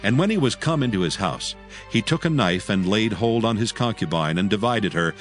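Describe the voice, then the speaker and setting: A man's voice: fairly low pitch, lively intonation, normal speed, faint music behind. A man narrating scripture dramatically, with a solemn and formal delivery.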